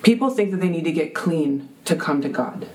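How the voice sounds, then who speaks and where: A woman with a deep voice, telling a heartfelt faith testimony as a guest, full of ums and restarts. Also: animated, fairly quick, dark tone. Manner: earnest, many fillers and restarts.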